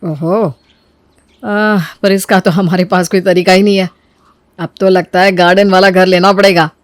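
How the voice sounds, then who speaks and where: Woman acting a caring young husband, lower-pitched. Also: fairly even intonation, moderate pace, dry recording, lightly acted. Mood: concerned. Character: caring, thoughtful young husband, soft-hearted. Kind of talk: acted dialogue in a Hindi moral story.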